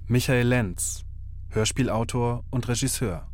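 A man's voice: mid-range voice, unhurried, a little mellow. A man reading terse scripted titles and captions as an announcer.

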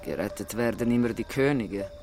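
Actor as an eerie, cryptic stranger over music. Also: male voice, lowish voice, normal speed, natural voice. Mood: brooding. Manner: cryptic, terse. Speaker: actor in an audio drama. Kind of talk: dramatic German radio play acting.

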